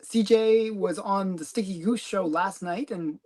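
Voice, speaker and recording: A woman's voice: mid-range voice, measured pace, mellow. A woman hosting a casual comic collecting livestream, chatty and rambling, giggling often. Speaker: host of a comic collecting channel.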